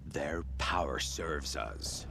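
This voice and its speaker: An actor playing a sinister, grandiose cult villain in a video game. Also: male voice, a bass voice, animated, creaky, background noise, heavily acted. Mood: ominous. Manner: slow, ominous, grandiose. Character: sinister, exultant cult villain summoning machines. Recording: loud music over voice.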